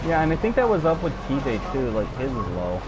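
A young man streaming a game with friends, chatting casually and yelling and swearing when startled. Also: mid-range voice, wildly swooping pitch, fast, heavy background noise. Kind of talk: casual gameplay chat over voice chat.

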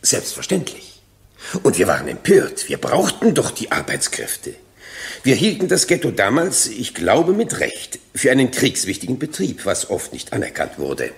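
Self-righteous older witness, hugely animated. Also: male voice, mid-range voice, normal speed, gravelly. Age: 60s. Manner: emphatic, servile, self-justifying. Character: self-righteous, evasive 61-year-old male sales agent testifying. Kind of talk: German radio drama acting.

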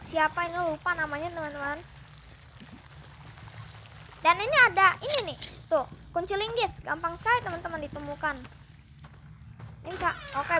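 Young indonesian gamer girl, super high voice, casual playthrough chatter, bit of background noise. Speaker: gaming streamer. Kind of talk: casual let's play commentary, reading aloud and translating.

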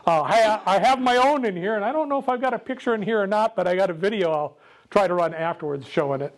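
An older man with a loud voice gives a casual, jokey talk to a club meeting, speaking without a microphone. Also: high voice, fast, low-quality recording. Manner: jokey, self-deprecating, chatty with laughs. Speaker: guest speaker.

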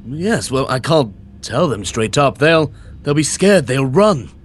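A man's voice: medium pitch, sing-song, unhurried, a little hiss or hum. A young man co-hosting an improvised Minecraft roleplay, teasing and mock-flustered. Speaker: roleplay co-host.

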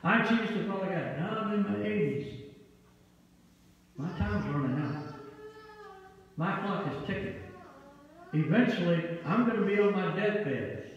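A middle-aged pastor with a Midwestern American accent, preaching a sermon with emphatic delivery in a church sanctuary, using a handheld mic. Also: male voice, high-pitched, expressive, measured pace, pauses often, slight background noise. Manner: emphatic preaching with wide gestures, rising to loud peaks. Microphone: handheld mic, far camera. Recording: echoey hall, distant and dull.

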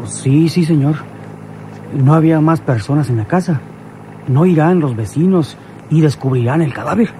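A man's voice: on the high side, moderate pace, dark tone, natural voice. An actor playing a loyal, deferential henchman and driver in a radio drama. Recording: noisy old radio drama recording.

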